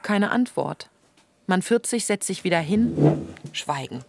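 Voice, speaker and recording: An actress as a detached radio play narrator, reading terse stage directions in a clipped, matter-of-fact way. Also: female voice, on the low side, expressive, slow, vocal fry, heavy background noise, heavily acted.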